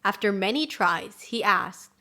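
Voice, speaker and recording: A woman's voice: mid-range voice, animated, unhurried, bright, forceful tone. A woman storyteller reading a scripted folktale narration.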